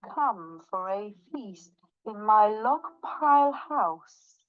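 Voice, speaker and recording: A woman voicing a sly, sweet-talking but cowardly male snake in a children's storybook, with drawn-out exclamations. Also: mid-range voice, animated, narrow, tinny audio. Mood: coaxing, curious. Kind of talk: children's storybook read aloud.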